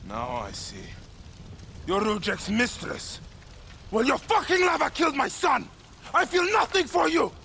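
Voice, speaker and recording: Raging vengeful warrior dad in a game, voice way up high and cracked, gravelly, snarling. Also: male voice, big pitch swings, a little slow, long pauses, slight background noise, heavily acted. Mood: furious. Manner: shouting, snarling, strained. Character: enraged, grief-stricken warrior father bent on revenge. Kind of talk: video game cutscene acting.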